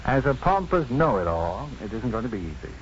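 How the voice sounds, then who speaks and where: An actor playing an assured, wry, kindly physician and professor in a 1950s radio drama, speaking in a measured, dryly witty, deliberate way. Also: male voice, medium pitch, big pitch swings, close to an everyday voice. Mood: gentle, careful. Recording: muffled, faintly noisy 1950s radio broadcast.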